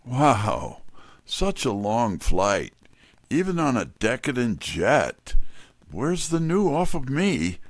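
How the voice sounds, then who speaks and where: A man's voice: medium pitch, wildly swooping pitch, takes it slowly, no room sound, full character voice. An actor playing a detective's wry right-hand man, colloquial, in a scripted audio drama.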